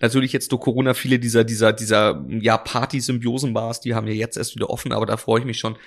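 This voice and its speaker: A man in casual interview chat, his voice at an ordinary middle pitch. He rambles through his answers, full of fillers and restarts. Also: level tone, fast talker. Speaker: drinks brand ambassador, guest.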